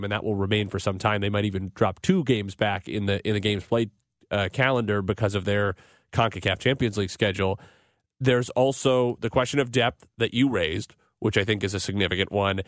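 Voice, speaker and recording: A man hosting a live sports radio show, talking through soccer in a measured, analytical, articulate way. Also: fairly low pitch, no echo, studio quality.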